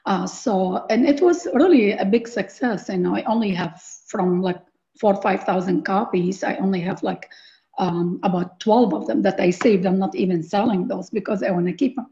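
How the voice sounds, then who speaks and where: An older woman with an Iraqi accent speaks in a mellow, dark voice over a dull video call. She tells her story casually as a guest, peppering it with "you know" and "like". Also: medium pitch, moderate pace. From Baghdad, Iraq. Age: middle-aged or older. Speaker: interview guest, cookbook author. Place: living room at home, on a video call. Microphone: video call computer mic.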